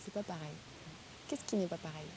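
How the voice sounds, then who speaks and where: A woman teacher in a classroom, speaking slowly and articulating carefully to encourage her pupils. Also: on the low side, background noise. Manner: slow, careful enunciation, encouraging.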